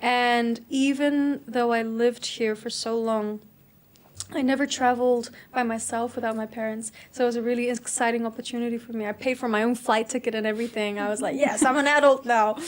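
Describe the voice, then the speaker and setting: A woman's voice: on the high side, fairly even intonation, brisk. A young adult woman from South Africa, a guest in a casual interview, talking reflectively and chattily and laughing easily.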